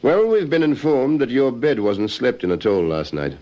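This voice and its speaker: An actor playing a dogged, suspicious police sergeant in an old radio detective drama, measured and politely insistent. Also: male voice, medium pitch, very animated, warm tone, close to an everyday voice. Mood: probing, dry. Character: dogged, calmly suspicious male police sergeant questioning a suspect. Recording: slightly dull vintage radio recording.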